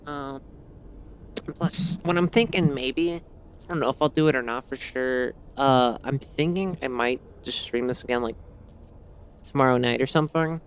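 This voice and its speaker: A slightly hoarse man streaming a game, reading its story text aloud and then chatting casually, rambling and correcting himself with many fillers. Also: mid-range voice, moderate pace, a little hiss or hum.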